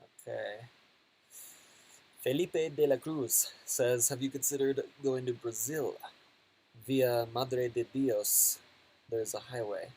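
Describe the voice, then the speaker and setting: A man hosting a casual travel live stream, reading viewer questions with relaxed, hesitant chatter and fillers. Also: mid-range voice, poor audio.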